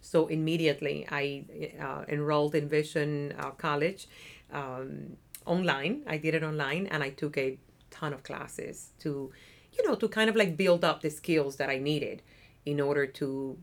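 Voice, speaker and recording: A woman's voice: low-pitched, unhurried, vocal fry. A woman in her thirties from Cuba with a Cuban Spanish accent, chatting as an interview guest about her nonprofit work and often tagging on "right?". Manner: talkative, upbeat, often adds "right?". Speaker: interview guest, nonprofit community development worker. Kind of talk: casual interview chat. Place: office.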